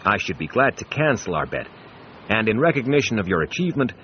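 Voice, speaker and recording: An actor playing a smooth-tongued wealthy gentleman reading a formal letter in a measured way, for a radio drama. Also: on the low side, big pitch swings. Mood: formal, persuasive. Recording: dull sound with faint noise.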